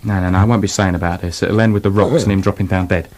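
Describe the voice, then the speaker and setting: An actor playing a flippant, bossy storybook writer in a radio play, breezy, dismissive and colloquial. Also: male voice, low-pitched, steady tone, fast, darkish tone, lightly acted. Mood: matter-of-fact.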